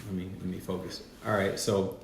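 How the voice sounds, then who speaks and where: A man's voice: deep voice, flat delivery, fairly quick. A man guest-hosting a podcast in a casual, playful way, often speaking to his listeners as y'all. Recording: echoey room with faint noise.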